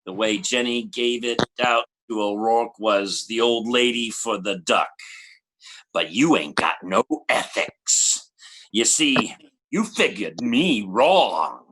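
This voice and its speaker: A man playing a bossy, threatening gangster boss in an old-time radio detective play, with slangy, drawn-out delivery. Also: medium pitch, lively intonation, fairly bright tone, breathy edge, exaggerated voice, poor audio. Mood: menacing.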